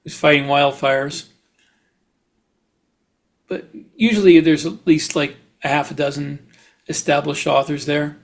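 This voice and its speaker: Higher-voiced man chatting casually on a podcast. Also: fairly even intonation, measured pace, creaky, long pauses. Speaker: podcast host, author.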